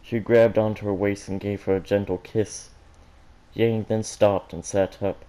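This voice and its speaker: A man reads a story aloud in a low, mellow voice. His delivery is slow and halting, with stumbles over words and joking asides in an amateur style. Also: level tone, low-quality recording. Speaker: reader of fan fiction for an online audience.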